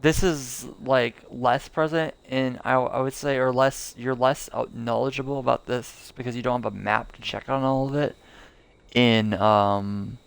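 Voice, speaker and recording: A man's voice: medium pitch, fairly even intonation, unhurried, gravelly, smooth. A young man streaming a game live, rambling casually with lots of fillers and restarts. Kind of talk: casual live gameplay commentary.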